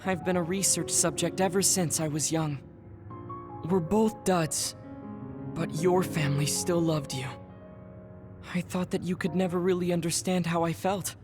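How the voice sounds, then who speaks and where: An actor playing a serious, formal young man in a game cutscene, speaking in a measured, polite way. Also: a little high, fairly even intonation, natural voice. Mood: composed. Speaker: game character. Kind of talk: dubbed game cutscene dialogue. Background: game music.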